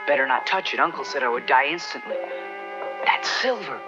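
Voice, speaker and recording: A man's voice: mid-range voice, animated, a little fast, lightly acted. A man voicing a plucky, earnest teenage street boy in a 1970s cartoon dub, exclamatory and wide-eyed. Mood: awed. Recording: dull old TV soundtrack, music behind.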